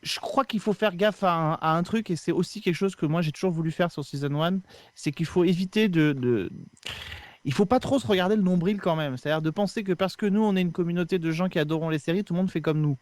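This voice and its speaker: A man in a casual podcast discussion, explaining his views with drawn-out hesitations. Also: sits high, dry recording. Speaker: podcast regular.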